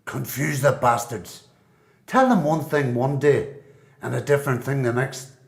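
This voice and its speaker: A man's voice: medium pitch, a little slow, creaky. A man with a Belfast accent playing a cynical, defiant prisoner in a radio play, blunt, colloquial and sweary. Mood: bitter, scornful. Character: cynical, defiant male prisoner, streetwise and distrustful.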